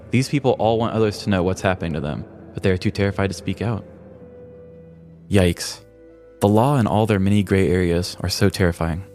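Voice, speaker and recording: A man's voice: on the low side, darkish tone. An American man co-hosting a podcast, with a wry delivery that moves between scripted storytelling and casual chat. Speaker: podcast co-host.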